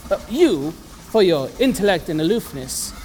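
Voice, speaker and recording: Affable man about town, male actor: high male register, genteel period dialogue, expressive and sociable. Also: normal speed, low-quality recording. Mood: genial teasing. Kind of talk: period audio drama dialogue.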